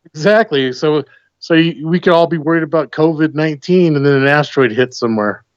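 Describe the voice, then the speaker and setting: A man's voice: fairly high pitch, brisk, no echo. A man from the United States, a call-in guest chatting casually and pressing his opinions with rhetorical questions over lo-fi call audio. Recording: dull lo-fi call audio, dry.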